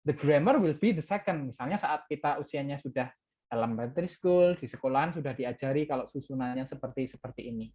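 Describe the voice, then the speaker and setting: A man's voice: mid-range voice, expressive, quick pace, darkish tone, muffled recording. A man from Java with an Indonesian accent and Javanese as his first language, lecturing an English class and switching between English and Indonesian, repeating key words with "ya".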